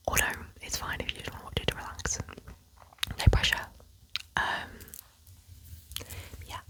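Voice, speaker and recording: A woman from Wales, whispering softly as an ASMR channel host, rambling gently and repeating words, close to the mic. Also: low-quality recording. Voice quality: soft whisper throughout. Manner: soothing repetition of words, rambling with fillers and restarts. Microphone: close ASMR microphone held near the face.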